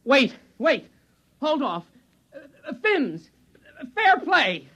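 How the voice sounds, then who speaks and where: An actor playing a nervous, exasperated space explorer in a 1950s radio drama, with wry narration and dialogue. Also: male voice, extremely high pitch, wildly swooping pitch, slow, sharp tone, heavily acted. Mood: panicked. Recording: dull vintage radio recording.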